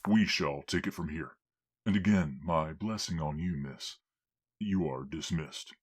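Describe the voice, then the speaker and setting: A man's voice: extremely low pitch, a little slow, husky, full character voice. A man playing a courteous, commanding lord in an audio drama, formal and authoritative.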